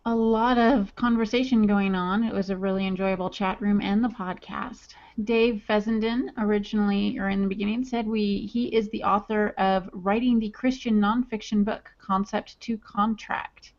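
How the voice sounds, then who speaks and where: A woman's voice: mid-range voice, fairly even intonation, normal speed, hardly any pauses, a little mellow. A woman co-hosting a writing podcast, reading listener chat comments aloud in an upbeat, chatty way. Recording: dull lo-fi audio.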